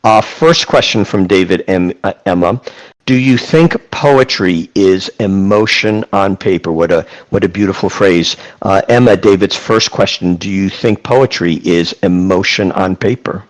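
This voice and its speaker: A man's voice: sits low, a little slow, poor audio. A man hosting an online class on a video call, reading out chat questions and praising them effusively. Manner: effusive, repeats praise, reads questions aloud. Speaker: class host and moderator.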